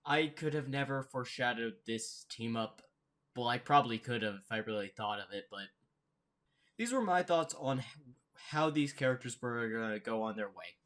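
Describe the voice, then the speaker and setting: A man giving casual reaction commentary as a host, thinking aloud in a halting way. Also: medium pitch, expressive, a bit bright.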